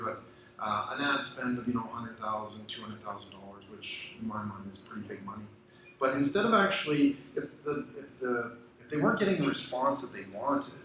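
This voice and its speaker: A Canadian man giving a conference presentation, energetic, with lots of rhetorical questions and ums. His voice bounces around a very echoey room with some faint background noise. He sounds like he is speaking to the audience from the stage. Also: mid-range voice.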